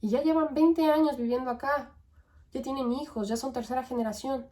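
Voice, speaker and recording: A young woman from Mexico with a Mexican accent, hosting a podcast and thinking aloud in a relaxed, conversational way with tag questions and fillers. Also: high-pitched, fairly quick. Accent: Mexican Spanish. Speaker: podcast host. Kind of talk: reflective monologue.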